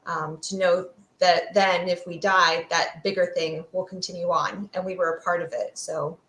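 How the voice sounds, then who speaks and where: Woman expert on an interview, kinda low voice, thoughtful n academic, wanders a bit, roomy echo. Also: fairly even intonation, moderate pace, a bit bright. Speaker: guest expert, fear sociologist. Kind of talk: explanatory interview talk. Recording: roomy, echoey sound.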